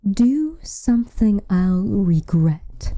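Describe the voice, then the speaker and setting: Woman doing a proud protective witch for asmr, very dark mellow voice, slow n formal, slips into whispers. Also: medium pitch, sing-song, no room sound, full character voice. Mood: bitter, weary. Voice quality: soft, breathy, often dropping to a whisper. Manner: formal, measured, dramatic delivery. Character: protective, proud, frustrated witch, tender toward her lover. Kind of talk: ASMR roleplay acting.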